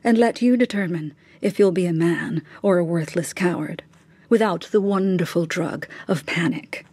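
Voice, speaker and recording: A woman's voice: on the low side, moderate pace, darkish tone, slightly rough. An actress playing a middle-aged mother in a radio drama, ruthless and scheming in her son's defence, with insistent, scornful, clipped questions. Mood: quietly cutting.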